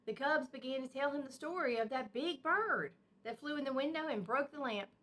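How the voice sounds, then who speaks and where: Bright-voiced woman reading a storybook. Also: high voice, expressive, a little fast. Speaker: teacher reading aloud to children. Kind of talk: expressive storybook read-aloud with simple asides.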